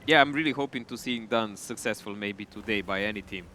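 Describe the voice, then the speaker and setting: A man's voice: lowish voice, fast, pushed and bright, a little hiss or hum. A man co-casting a live esports match, talking in a chatty way with loose phrasing and restarts. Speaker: esports co-caster.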